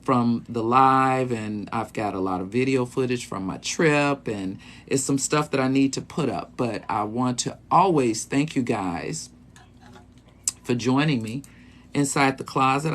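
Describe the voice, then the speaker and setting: A man's voice: mid-range voice, measured pace, a bit bright. A man in his late fifties who hosts a live stream as a sexologist, chatting casually with viewers in an easygoing, rambling way with lots of ums. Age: 50s. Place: small bedroom closet.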